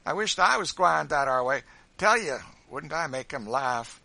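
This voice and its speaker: A man voicing a big, lively, talkative trickster in an audiobook, mocking and facetious, full of exclamations, speaking in dialect. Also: a little high, normal speed, creaky, dry recording, pitched above the performer's own voice, full character voice. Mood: gleeful teasing. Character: big, lively, voluble, teasing male trickster.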